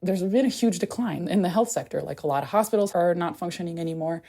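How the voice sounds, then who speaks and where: A woman from Haiti with an American accent, speaking as an anthropologist in a candid, animated interview full of "like". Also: on the low side, quick pace. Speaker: anthropologist and podcast guest. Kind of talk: conversational interview.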